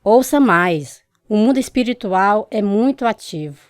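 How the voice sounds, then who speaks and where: A woman's voice: medium pitch, expressive, a little slow, no room sound, clean studio sound, close to an everyday voice. An actress playing a confident, insistent spiritualist mother in a Brazilian radio drama, speaking in an assured, instructive way. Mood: assured, coaxing.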